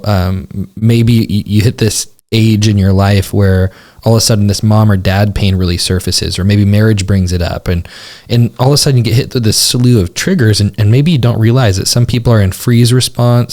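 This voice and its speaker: A young adult man co-hosting a relationship podcast, talking thoughtfully with halting restarts. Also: fairly low pitch, a little mellow. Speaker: podcast co-host. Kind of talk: casual podcast chat about relationships.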